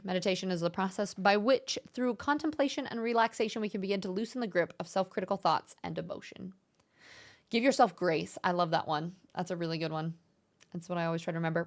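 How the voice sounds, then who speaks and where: Gentle, encouraging young woman streamer. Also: lowish voice. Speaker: streamer hosting a chat discussion. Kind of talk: casual live-stream chat, reading viewer messages aloud.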